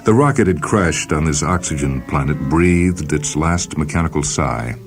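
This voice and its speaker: Very deep-voiced man narrating fiction. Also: expressive, moderate pace. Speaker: host and story reader. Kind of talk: dramatic scripted narration of speculative fiction. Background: music and sound cues.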